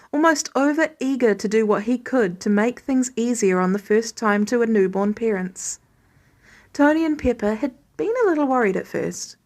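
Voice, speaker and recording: A woman reading a scripted story aloud as an audiobook narrator. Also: fairly high pitch. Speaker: fan fiction audiobook reader.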